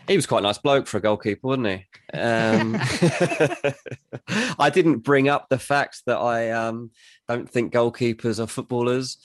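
A man's voice: medium pitch, a little slow, dry recording. Podcast guy, dry jokey delivery, lots of ums, dead dry close mic. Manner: dry, self-deprecating humour with many fillers. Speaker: podcast co-host. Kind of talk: casual podcast chat.

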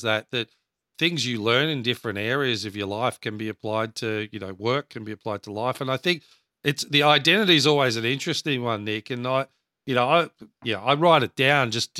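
Baritone host, bright, lively storyteller, dry close sound. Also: male voice, no room sound. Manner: animated storyteller, acts out quotes. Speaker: podcast co-host. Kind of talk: casual podcast chat.

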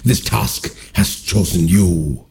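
An ancient evil ruler of a realm of endless terror, as a male narrator puts it on speaks low and forcefully in a cold, commanding tone. The voice is slow and slightly husky, and each word lands as an order. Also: heavily acted.

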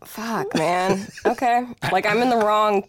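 A woman co-hosting a podcast, chatting casually in an expressive, slangy way. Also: mid-range voice, a little slow, over a noisy crowd. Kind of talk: casual chat.

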